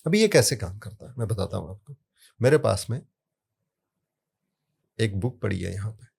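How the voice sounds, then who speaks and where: Low-voiced man telling anecdotes. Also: animated, normal speed, vocal fry, a little mellow, breathy edge. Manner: storytelling with anecdotes, emphatic rises on key words. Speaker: sound therapy guest. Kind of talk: conversational explaining.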